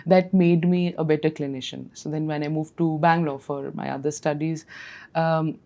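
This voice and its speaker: A woman from Nepal talks through her path as a dental specialist, her voice low and mellow. She tells her story warmly and reflectively, pausing on fillers and restarting her sentences. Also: fairly even intonation. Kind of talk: conversational podcast interview.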